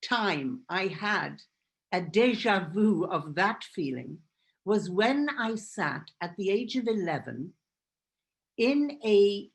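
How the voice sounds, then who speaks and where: A woman whose first language is Hebrew tells a personal story to an audience in an earnest, emphatic way. Her pitch sits at an ordinary middle level, and she often repeats phrases to drive a point home. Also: expressive, slow pace. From Israel. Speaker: guest speaker.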